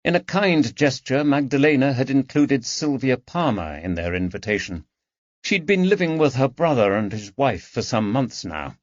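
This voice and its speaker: A man's voice: mid-range voice, lively intonation, moderate pace, dry recording. A man reading scripted narration from a period mystery novel as an audiobook narrator. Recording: dull lo-fi recording.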